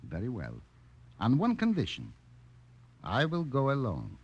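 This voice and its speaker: An actor playing a sly, grave resistance fighter in an old radio drama. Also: male voice, medium pitch, wildly swooping pitch, a little slow, breathy edge, lo-fi sound, exaggerated voice. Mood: grave, stern. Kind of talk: 1950s radio drama acting. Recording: old radio broadcast with background hiss.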